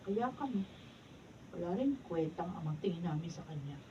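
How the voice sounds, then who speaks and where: An actress playing a remorseful adult daughter in a radio drama. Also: female voice, lowish voice, lively intonation, moderate pace, mellow, a little hiss or hum, close to an everyday voice. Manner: resigned, humble. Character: regretful grown daughter. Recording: muffled and distant.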